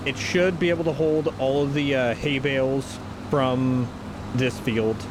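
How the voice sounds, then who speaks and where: A man chats his way through a farming game, rambling and catching himself mid-sentence. His nose is stuffed up, which thickens his voice now and then, and his pitch sits in an ordinary middle range. Faint outdoor noise stays under his voice. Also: fairly even intonation, a little slow. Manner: rambling, self-correcting, chatty. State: stuffy from a sinus infection. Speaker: gaming channel host. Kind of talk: casual gameplay commentary.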